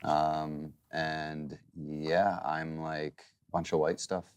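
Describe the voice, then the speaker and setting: Homme à la voix grave, rappeur invité, ton franc et décontracté. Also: male voice, very deep voice, flat delivery, slow pace, clean tone. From Canada. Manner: blunt, swears casually. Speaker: rapper, panel guest. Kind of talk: casual chat.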